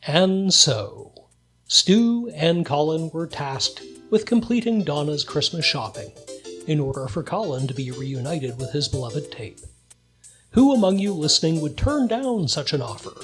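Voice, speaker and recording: An actor playing a mock-grand narrator of a Christmas radio play, a man speaking with pompous, tongue-in-cheek irony. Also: fairly high pitch. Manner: pompous, ironic. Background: music.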